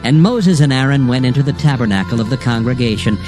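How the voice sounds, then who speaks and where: A man narrating scripture from a script in a solemn, measured, formal manner. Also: mid-range voice, lively intonation, a little mellow. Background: music.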